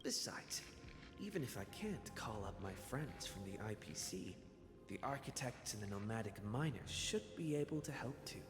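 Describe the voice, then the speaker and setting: A man's voice: lowish voice, expressive, moderate pace, lightly acted. A man voicing a young male game hero who whispers his inner thoughts in a musing way. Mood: pondering. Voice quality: whispered, breathy. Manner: musing, thinking aloud. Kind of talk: game voice acting. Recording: roomy long reverb under game music.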